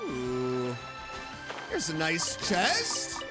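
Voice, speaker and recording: A man with a high voice commentates casually on a video game in an excitable, chatty way. His pitch swings widely while his delivery stays unhurried and slow. Game music plays behind him. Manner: excitable, chatty, talks to self and game character. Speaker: streamer, video game let's player.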